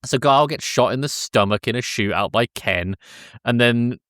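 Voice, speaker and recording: A man co-hosting a podcast, casually recapping a film in a deadpan, exasperated comic manner. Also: mid-range voice, moderate pace, no echo, clean studio sound. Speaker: podcast co-host.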